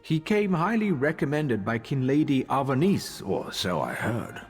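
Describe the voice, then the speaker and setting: An actor playing a drowsy, grumpy monk in a video game, grumbling wearily and dismissively. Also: male voice, mid-range voice, big pitch swings, unhurried, breathy edge. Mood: confiding. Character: drowsy, grumpy male monk wanting his nap. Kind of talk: video game character dialogue. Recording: long hall reverb, music behind. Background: music.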